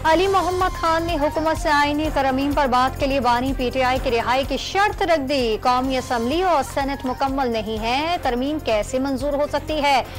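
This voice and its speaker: Woman, Pakistani Urdu accent, news anchor, brisk formal reading, very high voice, soft music behind. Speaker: news headline reader. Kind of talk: scripted news headlines. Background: news music bed.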